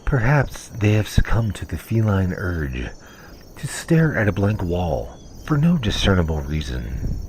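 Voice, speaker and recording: An actor playing a learned, formal, solemnly analytical alien scientist in a sci-fi comedy audio drama. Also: male voice, sits low, sing-song, measured pace, a little mellow, a little hiss or hum. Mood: detached fascination.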